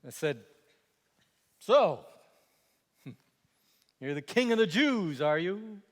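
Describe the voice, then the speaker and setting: Man acting animated, scornful Roman governor in echoing hall. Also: high voice, very slow, heavily acted. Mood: mocking. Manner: animated, scornful, exasperated, swinging from quiet asides to outbursts. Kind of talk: theatrical dramatic monologue. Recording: echoey hall with long reverb.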